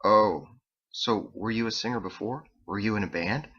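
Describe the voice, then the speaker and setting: A man's voice: fairly low pitch, moderate pace, sharp tone, slightly rough, dull audio. A man voicing a goofy, eager young male roommate in a novel, with a chatty, slangy manner.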